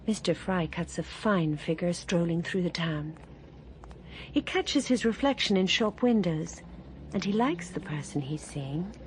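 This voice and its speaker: Woman narrating a radio play, low-ish voice, calm and measured. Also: a little hiss or hum, poor audio. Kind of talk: scripted radio drama narration.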